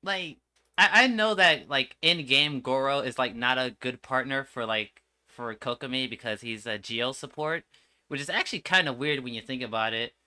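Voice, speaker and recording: Male game streamer, mid-pitched, very sharp and bright, slangy off-the-cuff commentary with an exasperated edge. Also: moderate pace, clear, resonant tone. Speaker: streamer reacting to game trailers. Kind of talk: casual reaction livestream commentary.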